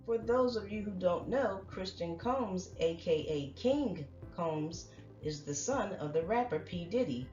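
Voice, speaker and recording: A woman reporting celebrity news in a steady, read-out narration. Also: lowish voice, unhurried, recorded from a distance. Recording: roomy, dull sound with faint music.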